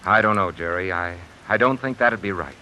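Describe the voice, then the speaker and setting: Bright, tense, hesitant professor. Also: male voice, deep voice, a little hiss or hum. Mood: uneasy. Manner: halting, hesitant. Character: anxious, hesitant male university professor hiding guilt. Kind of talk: 1950s radio drama acting. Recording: old 1950 radio broadcast, rain behind.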